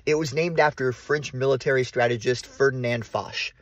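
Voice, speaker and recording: A man narrating the history of an abandoned school in a calm, measured documentary style. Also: medium pitch, muffled recording. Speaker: urban explorer narrator. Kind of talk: documentary narration with on-site asides.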